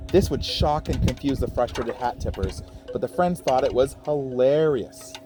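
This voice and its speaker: A man reading a children's picture book aloud in a playful, expressive way, with chatty asides to his young listeners. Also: mid-range voice, normal speed, background noise.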